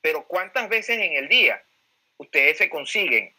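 A man's voice: a little high, very animated, unhurried, sharp tone, breathy edge. A Venezuelan man giving political commentary as a pollster, emphatic, repeating key phrases and posing rhetorical questions. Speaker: pollster, guest speaker.

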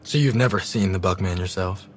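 A man's voice: low-pitched, a little fast, lightly acted. An actor playing a flippant, sarcastic teenage boy podcaster in an audio drama, speaking bluntly and casually. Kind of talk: naturalistic audio drama acting. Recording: clear background ambience noise.

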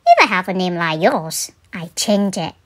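A woman's voice: on the low side, very animated, moderate pace. A woman voicing a smug, know-it-all schoolgirl mouse in a storybook, in a pointed, matter-of-fact manner.

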